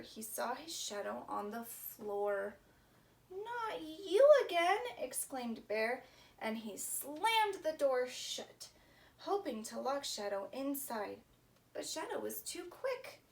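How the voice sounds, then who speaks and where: A woman's voice: fairly high pitch, animated, slow, creaky. A woman leading a children's story time, reading expressively in simple phrases and asking young listeners questions. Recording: distant mic with faint background noise.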